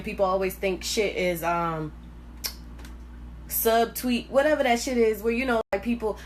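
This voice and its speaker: A woman telling a personal story casually and candidly, blunt and profane, with lots of slang and animated acting-out of her reactions. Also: a little high, slight background noise. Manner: animated, acts out quoted reactions. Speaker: storyteller hosting own vlog.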